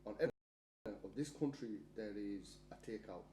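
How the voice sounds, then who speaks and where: A man's voice: lowish voice, brisk, dark tone, roomy sound, slight background noise, poor audio. A man hosting a casual podcast chat and telling everyday anecdotes. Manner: chatty, tells anecdotes. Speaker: podcast host.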